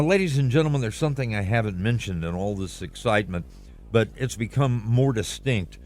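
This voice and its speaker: A man playing an earnest, flustered American radio news reporter in a radio play, halting as he describes what he sees. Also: on the low side, natural voice. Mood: urgent, fascinated. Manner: halting, descriptive, searching for words.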